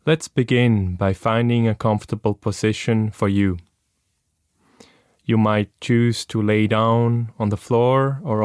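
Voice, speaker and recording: A man leading a guided meditation as an instructor, speaking calmly and gently with long, unhurried pauses. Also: fairly low pitch, clean tone, no room sound, clean studio sound.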